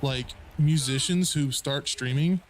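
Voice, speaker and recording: A man's voice: mid-range voice, unhurried, background noise. A man in his late twenties in a casual, joking, profane chat with gaming friends as a streamer. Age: late 20s.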